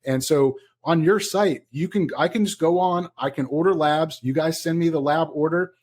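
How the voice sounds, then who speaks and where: A man's voice: fairly high pitch, normal speed, a little mellow. A man hosting a podcast, casual and upbeat, asking his guest questions. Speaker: podcast host.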